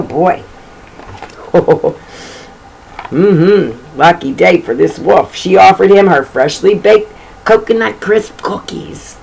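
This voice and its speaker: A woman with a low, creaky voice reads a storybook to children. She is chatty and folksy, often stepping out of the text to comment on the pictures. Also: poor audio. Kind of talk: informal read-aloud storytelling with chatty asides.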